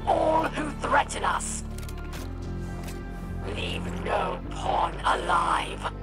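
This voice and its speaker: A woman's voice: mid-range voice, sing-song, extremely slow, loud music behind the voice, heavily acted. A woman voicing a vengeful, imperious alien warlord in a video game, menacing, commanding and scornful. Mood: cold fury. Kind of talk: video game villain acting.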